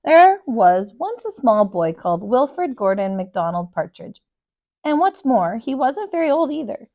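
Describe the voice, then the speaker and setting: Female children's storybook narrator, higher pitch, deliberate and emphatic delivery, expressive read-aloud. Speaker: storybook reader, kindergarten teacher.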